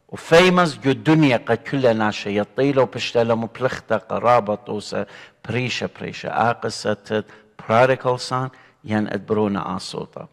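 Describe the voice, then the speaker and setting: Earnest middle-aged deacon, echoing hall. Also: male voice, mid-range voice. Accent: Assyrian. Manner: earnest, gesturing, expressive preaching. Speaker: deacon teaching scripture. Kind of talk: bible study teaching. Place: indoor studio before a painted church backdrop.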